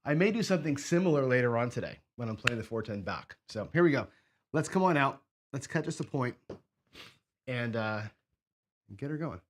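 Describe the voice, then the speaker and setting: A man hosting a craps strategy show, hoarse and sniffly, chatting casually as he thinks aloud and counts out chips and bets. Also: mid-range voice, animated, moderate pace, slight background noise. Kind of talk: casual play-along commentary.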